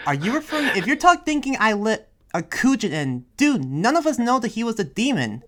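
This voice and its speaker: A man playing a flustered young demon slayer in improvised roleplay, halting and exasperated as he repeats himself and defends his side. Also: very high voice, very animated, fairly bright tone, full character voice. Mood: urgent, defensive. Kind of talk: improvised in-character roleplay dialogue.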